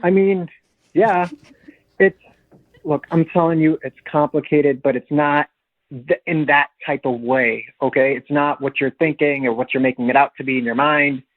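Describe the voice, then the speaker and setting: A man talks casually on a call to a radio show. His voice is slightly high, and it sounds thin and narrow over the telephone line. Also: fairly even intonation, moderate pace. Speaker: husband called on a radio show. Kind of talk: casual phone conversation. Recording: narrow tinny phone line.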